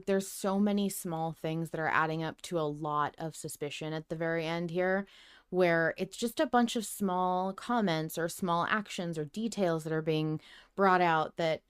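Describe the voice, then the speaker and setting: A woman's voice: low-pitched, clean tone. A woman co-hosting a podcast, chatting casually and emphatically as a fan, with some swearing. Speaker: podcast co-host. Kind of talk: casual fan discussion of an audio drama.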